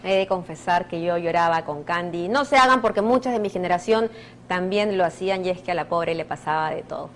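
A woman's voice: on the low side, a little fast, a little hiss or hum. A woman in her thirties presenting a television news magazine to camera from a studio.

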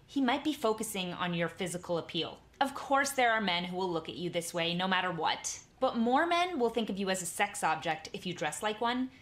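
A woman in her twenties giving earnest, measured advice straight to the camera from a room at home. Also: mid-range voice, lively intonation. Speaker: YouTuber in clips. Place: small home room, indoors.

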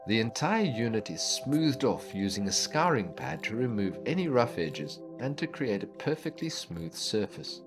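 A man's voice: mid-range voice, sing-song. Man narrating a factory documentary. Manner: measured, explanatory delivery. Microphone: studio voice-over. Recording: voice-over with clear background music.

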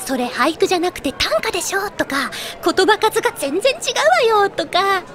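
A woman's voice: very high voice, animated, normal speed, a bit bright. A woman playing a playful, big-sisterly young idol in an anime audio drama, chatty, fond and teasing.

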